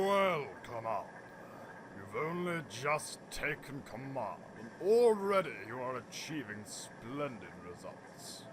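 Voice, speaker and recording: A man's voice: mid-range voice, noisy background. A man playing a shrewd, fawning prime minister in an audio drama, speaking in a formal, unctuous manner. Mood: oily, flattering. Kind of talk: radio-play acting.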